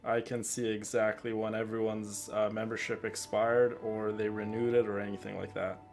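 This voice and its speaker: Male streamer, gravelly and on the low side, earnest, chatty, casual livestream delivery. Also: little pitch movement, moderate pace, a little mellow. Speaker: streamer and content creator. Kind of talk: casual game livestream chat. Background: game music.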